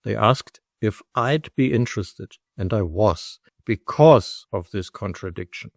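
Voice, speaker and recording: A middle-aged man with a German accent, a guest on a podcast interview, explaining his career and views in a measured, storytelling way. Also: fairly low pitch, animated, vocal fry, no echo, clean studio sound. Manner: measured, storytelling, speaks in full explanatory sentences. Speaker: guest, company executive and lecturer.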